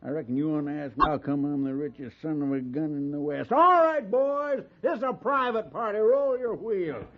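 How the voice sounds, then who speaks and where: Blustering high-pitched old Texas cattle baron. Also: male voice, animated, moderate pace, hardly any pauses. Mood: belligerent, commanding. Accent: American Western. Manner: blustering, repeats himself, barks orders. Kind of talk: 1950s Western radio drama acting. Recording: muffled 1950s radio recording.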